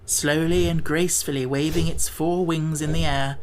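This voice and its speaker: A man narrating a classic children's fantasy audiobook in a measured storytelling manner. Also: fairly high pitch, fairly even intonation, slight background noise, rough recording. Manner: measured storytelling, with livelier character voices.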